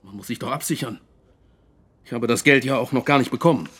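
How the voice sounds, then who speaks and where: Male film producer, mid pitch, raspy edge, indignant, defensive, blustering, radio drama. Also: fairly quick, fairly bright tone. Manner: aggrieved, blustering.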